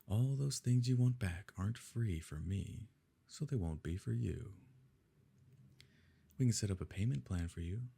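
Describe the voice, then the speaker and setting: A possessive, smooth-mannered evil nobleman, played by a man in an ASMR romance drama. His voice is very deep and dark, with a gravelly grain. He speaks formally and with measured control, pressing his beloved with quiet coercion. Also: lively intonation, exaggerated voice. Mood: smoothly coercive. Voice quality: low, husky, gravelly. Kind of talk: ASMR romance roleplay, scripted dramatic monologue.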